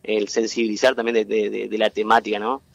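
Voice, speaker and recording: Halting argentine man from entre ríos. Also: fairly low pitch, flat delivery, vocal fry, no echo, lo-fi sound. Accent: Entre Ríos Argentine Spanish. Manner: halting, many fillers and restarts. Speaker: guest, tech cluster president. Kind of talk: interview, explaining at length.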